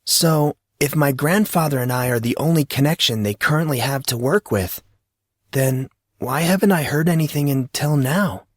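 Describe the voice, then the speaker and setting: Man as a troubled, questioning teen boy, pitched up. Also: moderate pace, no room sound, studio quality, lightly acted. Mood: puzzled. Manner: questioning, earnest. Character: Monson, troubled, questioning fourteen-year-old orphaned boy.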